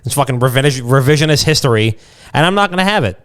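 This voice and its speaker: A man with a medium-pitched voice talks as a podcast co-host. His delivery is loose, profane comedic banter, full of irreverent riffs. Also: animated, fast, a bit bright, clean tone.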